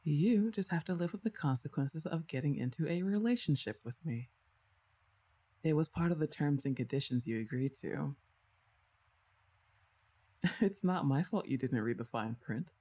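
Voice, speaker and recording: Young woman, teasing older sister, as a woman acts her out, soft ASMR roleplay, mellow, husky. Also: deep voice, expressive, normal speed, pauses often. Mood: affectionate. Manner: playful, teasing, soft-spoken.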